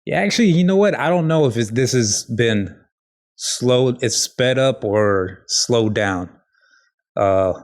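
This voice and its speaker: A man hosting a casual solo podcast, talking in a halting, rambling, informal way with a slight lisp. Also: mid-range voice, lively intonation. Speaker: podcast host.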